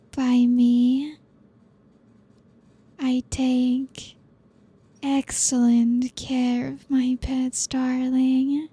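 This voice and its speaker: A woman voicing a possessive, seductive female vampire in an ASMR roleplay, speaking softly and slowly as she dotes and commands. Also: high-pitched, breathy edge, exaggerated voice. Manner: slow, soft, doting and controlling.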